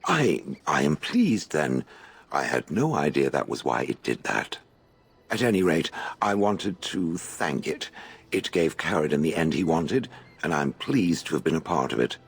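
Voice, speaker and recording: Very deep, haughty stone golem. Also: male voice, big pitch swings, slightly rough, exaggerated voice. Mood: pensive gratitude. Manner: formal, haughty, deliberate phrasing. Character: towering stone golem, aloof, probing, calls people "it". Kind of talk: fantasy video game dialogue.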